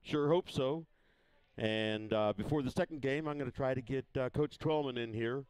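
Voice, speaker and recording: A man calling a high school baseball game from the press box, his voice smooth and resonant. He talks in a folksy, easygoing way, circling back and restarting his sentences. The sound is dry and close. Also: darkish tone, no echo, low-quality recording. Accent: Missouri. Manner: folksy, unhurried, many fillers and restarts. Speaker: play-by-play broadcaster. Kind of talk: casual local sports broadcast.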